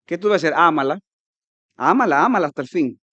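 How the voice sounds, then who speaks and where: A Dominican man preaches a conference sermon in a fairly high voice, driving his points home with sudden loud peaks. He acts out his listeners' objections in quick mimicking asides. The sound is dry, with little room echo. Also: animated, highs cut off. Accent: Dominican Spanish. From Dominican Republic. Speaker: pastor.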